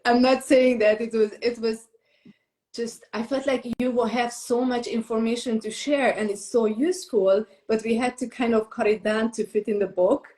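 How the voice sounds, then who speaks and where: A woman's voice: on the high side, steady tone, moderate pace, darkish tone. A woman from Romania interviewing a contributor in a casual, enthusiastic chat, with frequent fillers. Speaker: interviewer, book compiler. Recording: echoey room.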